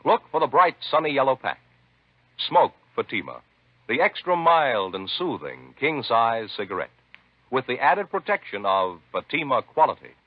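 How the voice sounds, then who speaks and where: A man announcing a 1950s radio commercial with an emphatic sales pitch. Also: sing-song, moderate pace, no echo. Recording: dull, dry old radio recording.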